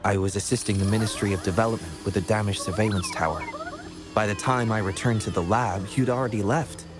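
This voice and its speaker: A man playing a gentle, modest young scientist in a video game, speaking in a polite, measured, reassuring way. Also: lowish voice, close to an everyday voice. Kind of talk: video game character acting.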